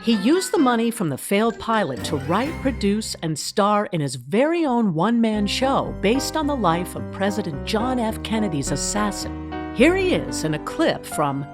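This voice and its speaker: A woman's voice: mid-range voice, big pitch swings, moderate pace, over music, close to an everyday voice. A woman playing the narrator of a mock documentary, reading a scripted biography. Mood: storytelling.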